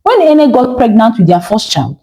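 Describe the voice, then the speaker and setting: A Nigerian woman storyteller with a fairly high voice that swings expressively up and down. She narrates dramatically, landing short phrases with emphasis and tossing out rhetorical questions. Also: big pitch swings, moderate pace, warm tone.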